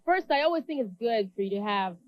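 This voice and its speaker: A woman audience member giving her opinion in a live debate, deliberate and assertive. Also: a little high, animated, dull audio.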